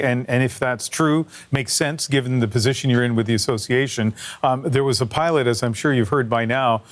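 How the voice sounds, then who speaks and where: A man hosting a news interview, asking probing questions in an informal, conversational way. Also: mid-range voice. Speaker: news show host, interviewer.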